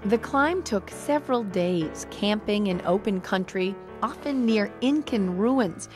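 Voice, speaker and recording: An American woman narrates a scripted TV news feature as a reporter. Her pitch sits in the middle range, with music clearly playing behind her. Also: lively intonation, measured pace.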